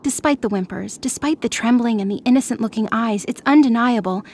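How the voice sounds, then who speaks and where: A woman's voice: a little high, fairly quick, darkish tone, husky. A woman narrator reading scripted, dramatic fiction for an audio drama.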